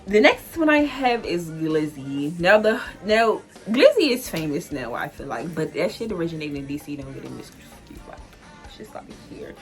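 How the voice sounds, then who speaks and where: Chatty young D.C. woman, low-pitched voice. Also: sing-song, moderate pace, faint music behind. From Washington, D.C. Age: 20s. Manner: loose and chatty, heavy "like" fillers, easy laughter. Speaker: vlogger. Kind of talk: casual solo vlog explainer.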